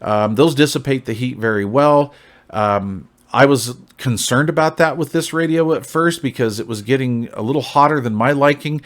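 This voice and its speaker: A man's voice: mid-range voice, moderate pace. Folksy American man giving a casual review. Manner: folksy, long-winded, conversational, checks in with "right?". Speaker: CB radio reviewer, channel host.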